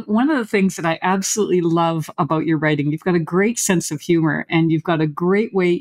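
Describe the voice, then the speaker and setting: A woman's voice: lowish voice, a little mellow, dry recording. A woman who is an educator hosts an interview, speaking with enthusiasm and lots of praise for her guest. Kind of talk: interview hosting.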